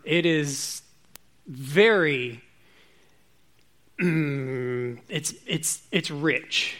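A man preaching a relaxed, informal sermon to his congregation, chatty and self-joking. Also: a little high, drawn-out words.

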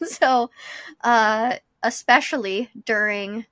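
A woman's voice: on the high side, slow pace, a bit bright, dry recording. A young adult woman co-hosting a podcast, talking casually and reflectively in a candid, personal way. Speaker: podcast co-host.

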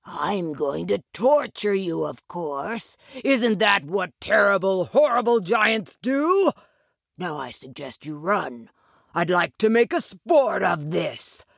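A woman voicing a big, jolly green male giant in a storybook tale, gravelly, teasing and theatrical. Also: medium pitch, lively intonation, no echo, studio quality, full character voice. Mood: mock menacing. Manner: slow, drawn-out, theatrical delivery.

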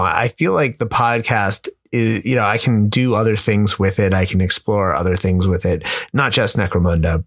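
A man's voice: fairly low pitch, a bit bright. A man hosting a podcast, talking casually and earnestly to listeners in a hesitant, informal way. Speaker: podcast host. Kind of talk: casual solo podcast sign-off talk.

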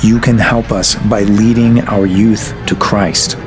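A fatherly, resolute priest played by a man speaks in a lowish voice, gentle and solemn. It is radio drama, with music clearly heard behind him. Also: steady tone, slow. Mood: solemn resolve.

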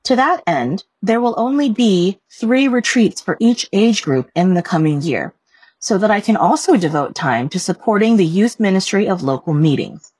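A woman reading a written staff report aloud in a measured, careful way, like a scripted narration. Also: medium pitch, expressive, darkish tone, dry recording.

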